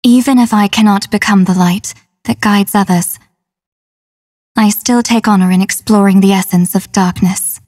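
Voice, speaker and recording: A woman playing a solemn, tender female android soldier in a video game, speaking in a measured, formal, earnest way. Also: medium pitch, fairly even intonation, darkish tone. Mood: solemn, wistful. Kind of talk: English dub game voice acting.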